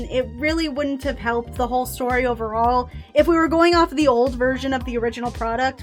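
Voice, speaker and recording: A woman giving opinionated fan commentary in a conversational, self-questioning way, as the host of a video essay. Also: high voice. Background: background music.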